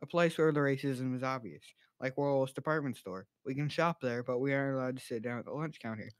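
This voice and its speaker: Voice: mid-range voice, fast talker, dry recording, lightly acted. A student actor playing a confident, level-headed teenage college boy in an amateur radio drama. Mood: frustrated.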